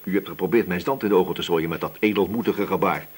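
An actor playing a stern, relentless, sardonic police inspector who questions insistently in a radio play. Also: male voice, low voice, fast talker, a little mellow, breathy edge. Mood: threatening. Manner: probing, insistent, threatening. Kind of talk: Dutch radio play dialogue.

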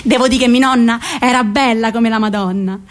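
A woman with a central Italian, Roman-area accent narrating a theatrical monologue in an expressive, colloquial way. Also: on the high side, a little fast. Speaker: stage actress.

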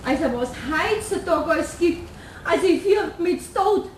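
A woman's voice: extremely high pitch, lively intonation, moderate pace. An actress playing a gossipy, easily frightened caretaker woman with a Bavarian accent, echoey and distant in a radio play. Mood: fearful, dramatic. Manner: dramatic, alarmed. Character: gossipy, fearful, sensation-hungry female caretaker. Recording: echoey, distant, faint background noise.

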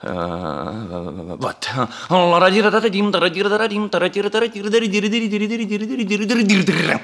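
An actor playing an excitable, domineering army major with musical pretensions in a 1960s Soviet radio comedy, husky and emphatic. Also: male voice, sits high, a little slow, full character voice. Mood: enthusiastic. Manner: emphatic, animated, sings wordless tunes. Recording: vintage 1960s radio play recording.

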